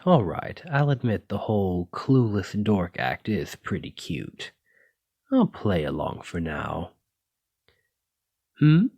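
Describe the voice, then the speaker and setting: A man's voice: lowish voice, darkish tone, slightly rough, dry recording, clean studio sound, full character voice. A man playing a flirty, possessive dragon-blooded librarian in an intimate ASMR roleplay, with a teasing, coy way of drawing out his phrases. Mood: playful.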